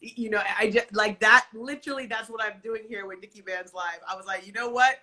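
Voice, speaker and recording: Woman interview host, bright ringing voice, upbeat, gushing, lots of "like". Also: a little high, steady tone, fairly quick. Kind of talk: enthusiastic casual interview hosting.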